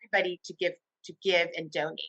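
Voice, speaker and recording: A woman television host recounts her career in an interview, speaking with enthusiasm and animated swings in tone. The sound is dry and close to the mic, with no room around it. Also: lowish voice, very fast, breathy edge, dry recording, lo-fi sound. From Cuba. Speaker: TV host guest. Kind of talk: interview conversation.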